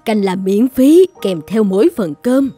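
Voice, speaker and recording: A woman voicing a motherly older eatery owner in a cartoon, caring and gently insistent. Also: medium pitch, animated, unhurried, soft background music, close to an everyday voice. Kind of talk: animated moral-tale dubbing.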